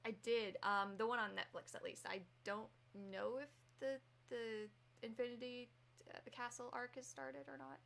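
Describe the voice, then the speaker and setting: A woman's voice: on the high side, fairly even intonation, normal speed, creaky, fairly bright tone. A woman streamer chatting casually and reacting on a livestream, speaking off the cuff. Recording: faint background noise under voice.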